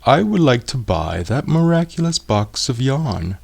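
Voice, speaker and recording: A man voicing a pompous, demanding, wealthy archduke in a storybook, declaiming forcefully. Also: medium pitch, very animated, a little slow, vocal fry, breathy edge, voice pushed up, heavily acted.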